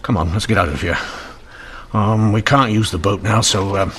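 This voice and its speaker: Low-voiced, commanding police inspector. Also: male voice, moderate pace, a bit bright, breathy edge. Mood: tense, determined. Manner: terse, commanding. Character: gruff, resolute, hot-tempered male Victorian police inspector. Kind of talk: old-time radio mystery acting. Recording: noisy old radio drama recording.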